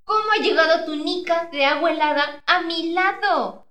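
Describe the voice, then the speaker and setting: A woman's voice: very high-pitched, moderate pace, sharp tone, reverberant. A woman playing a bossy, suspicious wife scared of burglars, nagging urgently and scornfully in a comedic radio play. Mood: scolding, scornful.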